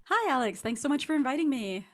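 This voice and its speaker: A woman's voice: sits high, big pitch swings, fast talker. A woman speaking as an author guest in an interview, answering in a casual, reflective way.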